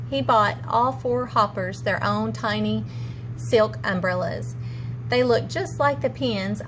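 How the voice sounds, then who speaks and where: Female teacher, storybook narration for children. Higher-pitched voice, slightly noisy recording. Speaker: teacher reading a story aloud.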